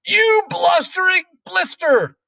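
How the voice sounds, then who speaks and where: A man voicing a furious, tyrannical older woman headmistress in a storybook, shrill and bullying as she shouts. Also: big pitch swings, normal speed, dry recording, distant mic, heavily acted. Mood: enraged.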